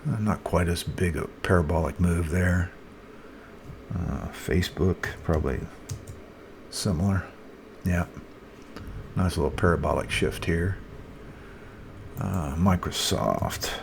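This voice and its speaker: A man with a very low voice talks through charts as a market analyst. His delivery is casual and unscripted, halting as he thinks aloud. Clear background noise sits behind the voice.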